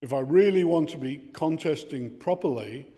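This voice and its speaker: An older man giving an informal explanatory talk, reflective and halting in his phrasing. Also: a little high, lively intonation, mellow. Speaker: presenter giving a talk on radio contesting. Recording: reverberant hall sound.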